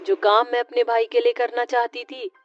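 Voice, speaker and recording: A woman voicing a kind, wistful witch in a cartoon story, gentle and reflective. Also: fairly high pitch, little pitch movement, normal speed, lightly acted. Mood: contented, wistful. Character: wistful kind-hearted witch longing for her brother. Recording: muffled lo-fi sound with background music.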